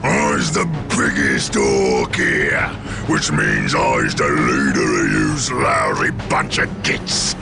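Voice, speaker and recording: An actor playing a huge, brutish orc boss in a video game, bellowing crude broken grammar in a guttural growl. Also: male voice, rumbling low, big pitch swings, slow, creaky, shouting, over loud music, heavily acted. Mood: bullying, boastful. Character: huge brutish orc warboss, bullying leader. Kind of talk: video game cutscene acting.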